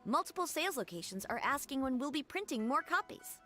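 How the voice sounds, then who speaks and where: A woman's voice: sits high, sing-song, a little fast, loud music behind the voice. A woman voicing a polite, upbeat, businesslike female editor in a video game, courteous and professional. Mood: pleased.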